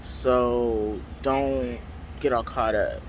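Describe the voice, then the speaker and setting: A man chatting casually in a rambling vlog, talking loudly into a handheld phone outdoors at a transit stop. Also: mid-range voice, fairly even intonation, drawn-out words, low-quality recording. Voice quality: loud voice. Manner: rambling, chatty, full of fillers. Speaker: vlogger. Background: faint city traffic noise.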